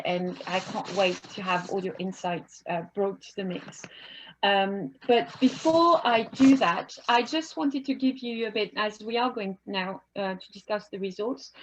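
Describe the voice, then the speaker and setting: A woman's voice: medium pitch, muffled recording. Chatty woman hosting an online panel. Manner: friendly, chatty, frequent fillers and restarts. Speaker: webinar host and moderator. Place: home office. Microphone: video call.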